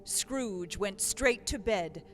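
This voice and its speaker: A woman doing measured scripted narration for a radio play production. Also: medium pitch, animated, slight background noise.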